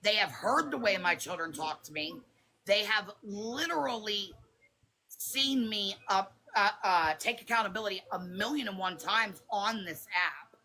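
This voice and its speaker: A woman with a raspy smoker's voice, talking defensively and bluntly on a phone livestream. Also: medium pitch, unhurried, pushed and bright, rough recording. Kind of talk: heated live chat.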